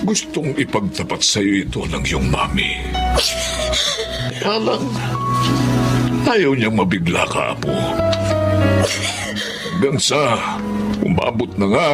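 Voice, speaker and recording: A man's voice: lowish voice, wildly swooping pitch, slow, long pauses, full character voice. A man playing an old grandfather, earnest and pleading, in Filipino radio drama style. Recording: loud dramatic music behind the voice.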